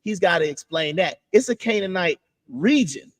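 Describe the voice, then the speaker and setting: High-voiced man explaining on a podcast. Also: lively intonation, moderate pace. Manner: explains step by step, repeats himself, talks over interruptions. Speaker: podcast host. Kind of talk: casual podcast discussion.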